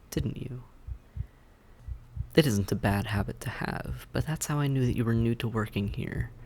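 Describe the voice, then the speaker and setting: A suave vampire acted by a man with a gravelly, mid-pitched voice speaks in courteous, measured phrases. He is patient and quietly menacing, teasing the listener in an audio roleplay, over faint background noise. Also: close to an everyday voice, rough recording. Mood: smug, menacing. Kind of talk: scripted audio roleplay acting, addressed to the listener.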